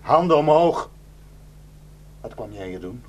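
A man's voice: mid-range voice, big pitch swings, a little slow, darkish tone. An actor in a radio drama playing a protective, suspicious, hot-tempered village herdsman and husband, scornful and impulsive. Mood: angry, threatening.

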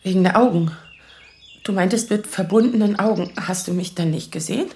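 An actress playing a nervous, self-doubting lawyer in her thirties in a naturalistic radio drama, speaking in a halting, rambling way. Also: mid-range voice, darkish tone, natural voice. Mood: hesitant, pained. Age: 30s. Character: nervous, self-doubting 35-year-old asylum lawyer, single woman.

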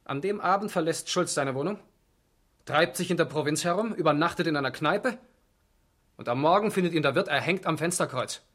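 A man's voice: on the high side, fairly quick, a bit bright. A man acting a stern, dryly sarcastic police interrogator in a 1970s East German radio crime drama, clipped and authoritative. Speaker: actor.

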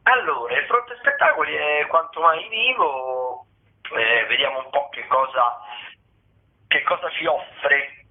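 A man giving a casual radio rundown as a theatre critic, wordy and halting with repetitions. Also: fairly high pitch. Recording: tinny phone line.

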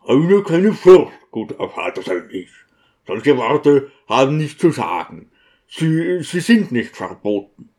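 A man's voice: medium pitch, sing-song, slow pace, darkish tone. A man voicing an eager, boastful deacon in an audiobook, using affected, oddly stressed expressions. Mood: eager, dismissive. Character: eager, boastful deacon showing off newly learned city slang.

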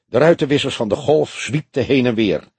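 A man's voice: mid-range voice, moderate pace, darkish tone, breathy edge, no room sound. A man reading a detective novel aloud as scripted audiobook narration.

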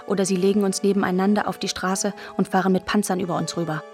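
A woman plays a stern woman refugee in a radio drama. She is weary and blunt, nagging in a mid-pitched voice. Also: level tone, quick pace, over music, natural voice. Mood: grim warning.

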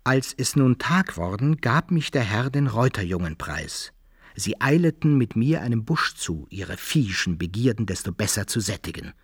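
Husky male storyteller. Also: on the low side, sing-song, moderate pace, no echo, studio quality, exaggerated voice. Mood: narrating, wry. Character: male adventurer recounting his own wartime misfortunes. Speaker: first-person narrator. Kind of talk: literary radio play narration.

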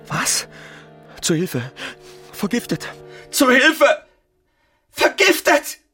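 A devoted husband out of his mind with worry in a literary radio drama. He pleads and repeats himself, his pitch swinging widely. Faint music lies underneath. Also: wildly swooping pitch, very slow, pushed and bright, breathy edge, full character voice. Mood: panicked. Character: desperate, devoted husband, helpless and panicking.

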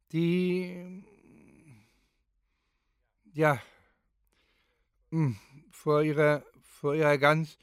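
An actor playing a pensive, grave man who gives halting, deliberate, thoughtful testimony as if speaking spontaneously. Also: fairly high pitch, clear, resonant tone, dry recording, clean studio sound. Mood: searching, intense. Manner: halting, deliberate, thoughtful, with long drawn-out phrases. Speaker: performer in a radio play recording session. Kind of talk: re-enacting spontaneous reflective testimony.

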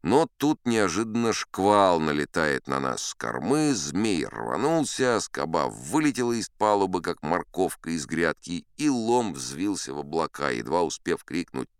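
An actor playing an old sea captain who spins tall tales in a dramatised audiobook, boastful and unflappable, with theatrical asides and dramatic pauses. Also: male voice, low-pitched, sing-song, smooth, no echo, studio quality, heavily acted. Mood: dramatic, suspenseful.

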